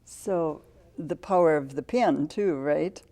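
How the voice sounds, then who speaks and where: A woman's voice: low-pitched, takes it slowly, warm tone. A woman hosting a talk show, prompting her guest with short questions and affirmations. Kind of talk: interviewing a guest.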